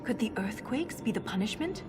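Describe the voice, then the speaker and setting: An actress playing an earnest, driven dwarf woman scholar in a video game, solemn and grieving, with measured, formal phrasing. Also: on the high side, animated, natural voice. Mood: awed, pondering. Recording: loud music behind. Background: loud game music.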